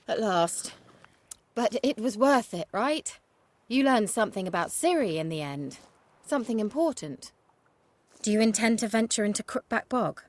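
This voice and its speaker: An actress playing a self-assured, flirtatious woman confidante in a video game, with measured, coaxing and slightly theatrical delivery. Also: on the high side, expressive. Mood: relieved, probing. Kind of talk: video game dialogue acting.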